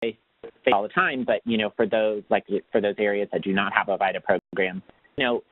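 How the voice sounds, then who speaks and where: A man's voice: on the low side, steady tone, brisk, no echo. A man giving a casual, loosely rambling explanation as a program manager on a webinar, over a phone-like connection. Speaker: tax assistance program manager. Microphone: phone or web conference call. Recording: dry, narrow phone-line sound.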